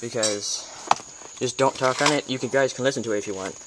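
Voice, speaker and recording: A clearly noisy, clicky amateur recording carries an American man's voice at an average pitch. He hosts a casual hands-on demonstration, speaking informally and haltingly. He tends to think aloud as he explains each step. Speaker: video tutorial host.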